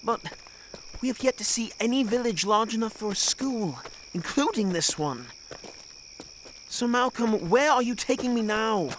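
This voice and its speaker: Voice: mid-range voice, animated, a little slow, lightly acted. An actor playing a haughty, weary young royal boy in an audio drama, with formal, precious phrasing. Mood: puzzled, weary. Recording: clearly noisy track with outdoor ambience.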